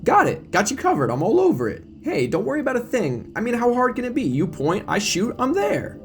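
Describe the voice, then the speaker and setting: A man's voice: very high voice, huge pitch swings, a little fast, pitched above the performer's own voice, exaggerated voice. A man voicing an eager, overconfident novice cameraman in an audio drama, bluffing breezily. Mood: breezy, bluffing. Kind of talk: audio drama character acting.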